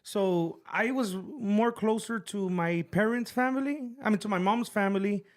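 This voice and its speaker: A young man from the Rio Grande Valley speaks with a South Texas Tejano accent, his voice notably high. He talks quickly and in a friendly way, hopping between English and Spanish. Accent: South Texas Tejano, mixing English and Spanish. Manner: quick, code-switching, friendly. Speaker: band leader guest. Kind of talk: casual interview talk.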